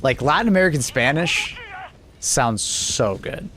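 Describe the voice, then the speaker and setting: A man's voice: a little high, sing-song, measured pace, a bit bright, a little hiss or hum. A young man hosting a gaming livestream, chatting casually and rambling with lots of restarts. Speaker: livestream host. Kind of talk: casual chat while gaming.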